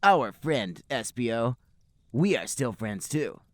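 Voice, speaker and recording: A man's voice: medium pitch, very animated, slow pace, no echo. A man voicing an earnest, pleading male teen hedgehog in a fan fiction read-aloud. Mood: insistent.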